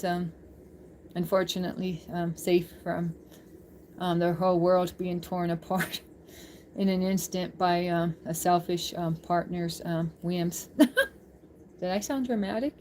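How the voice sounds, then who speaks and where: A woman's voice: lowish voice, steady tone, unhurried, darkish tone. Chatty woman livestreamer over background noise. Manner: informal, rambling, giggly. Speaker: livestream host chatting with viewers. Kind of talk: casual livestream chat.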